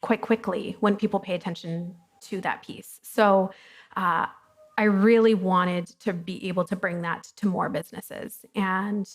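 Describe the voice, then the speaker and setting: A woman's voice: medium pitch, steady tone, a little slow. Woman, business co-founder, chatty interview guest, frank and casual. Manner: chatty and candid, with frequent ums. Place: coworking studio, fireside chat before an audience.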